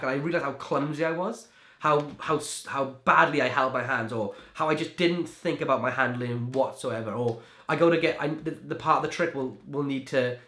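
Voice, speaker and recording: A man's voice: mid-range voice, a bit bright. A young man from Wales, a magician, answering interview questions in a chatty way, full of "like" and restarts. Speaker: magician and creator. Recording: echoey room.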